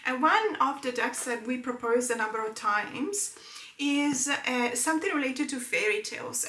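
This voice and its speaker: A woman's voice: a little high, a bit bright. Female tarot vlogger whose first language is Italian; chatty, filler-heavy delivery in a roomy, echoing space. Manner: chatty, rambling, many fillers. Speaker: tarot channel host. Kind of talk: casual talk to camera. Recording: roomy echo.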